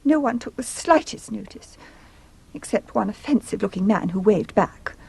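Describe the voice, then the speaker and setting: An actress playing a distressed, indignant young English wife, speaking with well-bred, plaintive drama in a radio farce. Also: female voice, medium pitch, lively intonation, moderate pace, vocal fry, darkish tone, breathy edge, dry recording, heavily acted. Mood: aggrieved, dramatic. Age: young adult. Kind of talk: stage farce acting for radio.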